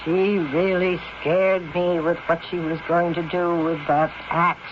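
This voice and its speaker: An actress playing a loyal, plainspoken older nurse-housekeeper with an earnest American voice in a 1970s radio drama. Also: female voice, lowish voice, flat delivery, measured pace, close to an everyday voice. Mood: shocked, indignant. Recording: muffled, very noisy 1970s radio recording.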